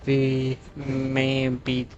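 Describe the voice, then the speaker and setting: A man's voice: medium pitch, completely flat delivery, very slow, a little mellow, muffled recording. A man with an Indian accent and Hindi as first language, giving casual live reactions while streaming a game. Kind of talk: casual gameplay reaction commentary. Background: game music.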